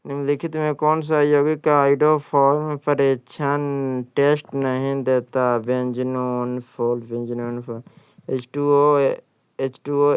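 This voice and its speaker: Slow, clear male exam tutor. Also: medium pitch, dry recording, dull audio. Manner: deliberate, repeats answers. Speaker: exam coaching teacher. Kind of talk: reading out practice questions and answers.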